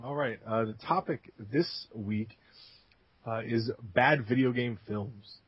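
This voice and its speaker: A laid-back man co-hosting a casual gaming podcast, cracking jokes and using a lot of fillers. His voice is husky and a little breathy. The recording is dull and lo-fi. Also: mid-range voice, slow, no echo. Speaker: podcast co-host. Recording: dull lo-fi sound.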